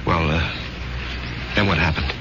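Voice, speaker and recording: An actor playing a mild, anxious, self-doubting American working husband in a 1970s radio drama, speaking in a hesitant, stammering way. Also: male voice, a bass voice, a little slow, lo-fi sound, noisy background. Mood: uneasy, bewildered. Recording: old radio broadcast with loud music.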